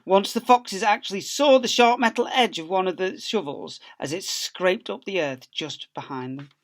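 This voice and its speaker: A man's voice: high-pitched, moderate pace. A man, an infant school teacher, reading a story expressively to young children.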